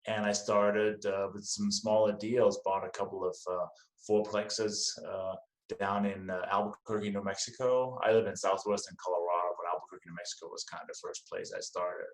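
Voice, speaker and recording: A middle-aged man with a Danish accent talks in a relaxed, conversational way, often circling back on his words. His voice sits fairly low. The sound is roomy and echoey, like a podcast recorded in a bare room. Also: moderate pace. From Denmark. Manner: easygoing, repeats words as he gathers thoughts. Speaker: podcast guest. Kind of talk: casual interview chat.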